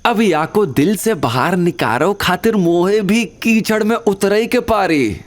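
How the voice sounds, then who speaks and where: A man's voice: high-pitched, lively intonation, slow pace, voice pushed up. A man voicing a crude, lecherous gangster henchman in an audio drama, taunting in rustic speech with a Bihari accent. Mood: leering.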